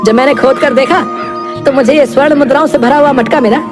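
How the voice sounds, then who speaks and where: Hardworking, honest farmer brother woman in the role; high voice, plain-spoken and earnest, cartoon fable with music underneath. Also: quick pace, close to an everyday voice. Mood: excited. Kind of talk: Hindi moral-story cartoon dubbing.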